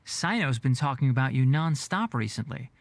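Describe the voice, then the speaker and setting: A man's voice: medium pitch, expressive, moderate pace, vocal fry, no room sound. A dry, blunt young man. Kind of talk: game voice acting.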